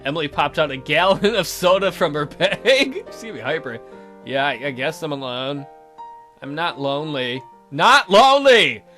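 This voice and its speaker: A man's voice: on the high side, normal speed, sharp tone, soft background music. A young man hosting a let's play, reading a visual novel aloud and adding playful, joking commentary.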